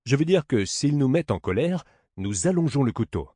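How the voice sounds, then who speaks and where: A man's voice: medium pitch, big pitch swings, a little fast, mellow, dry recording, clean studio sound, voice pushed up. A man voicing a swaggering, crude servant in a stage play who brags and blusters, spoiling for a fight. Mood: boastful, combative.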